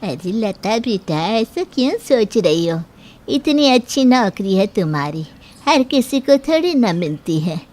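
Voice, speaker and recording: Woman playing a slow, stern Indian mother-in-law. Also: a little high, big pitch swings, darkish tone. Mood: reassuring, firm. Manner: slow, measured, admonishing. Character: stern but fair middle-aged Indian mother-in-law. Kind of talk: Hindi moral-story cartoon dialogue.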